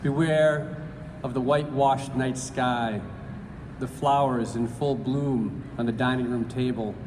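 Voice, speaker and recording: A man reads poems aloud at a slow, measured pace. His speech is hesitant and full of fillers between the pieces. His voice carries through a reverberant hall with steady background noise. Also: mid-range voice. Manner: halting with many fillers, then slow deliberate reading. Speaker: poetry reader. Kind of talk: live public poetry reading. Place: large event hall.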